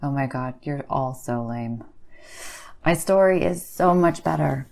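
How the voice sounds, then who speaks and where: A woman's voice: very deep voice, a little slow, creaky, a little mellow, heavily acted. A woman playing a blunt, casual creepy-story teller, offhand and slangy in her banter. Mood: blunt, teasing. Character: Bee, blunt, slacker-casual woman trading creepy stories. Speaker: podcast co-host.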